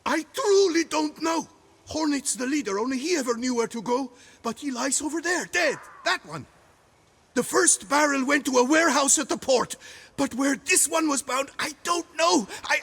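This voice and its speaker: A man's voice: very high voice, lively intonation, moderate pace, vocal fry, a bit bright, full character voice. An actor playing a frightened captured bandit in a video game, crude and stammering as he protests. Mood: panicked pleading. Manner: panicked stammering, crude taunting. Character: terrified captured bandit, defiant then cowering and pleading. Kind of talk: dramatic video game acting.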